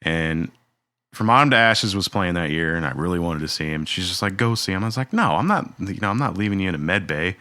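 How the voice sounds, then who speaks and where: Young male podcast co-host, very low pitch, bright and dry-sounding, relaxed storyteller. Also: fast talker, no echo. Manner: relaxed storytelling, many fillers. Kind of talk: casual chat.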